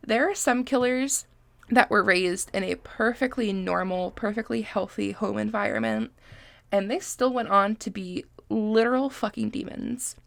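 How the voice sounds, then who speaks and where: A woman's voice: medium pitch, creaky, no room sound. A woman in her twenties from Massachusetts, congested and sniffly, hosting a casual podcast in a chatty, self-deprecating way and swearing freely. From Massachusetts, USA. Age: 20s. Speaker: podcast host. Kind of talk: casual solo podcast chat.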